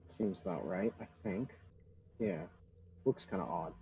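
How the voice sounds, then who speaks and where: A man with a husky voice casually running an online tabletop game as game master, given to swearing. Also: low-pitched, lively intonation, unhurried, dark tone, slight background noise.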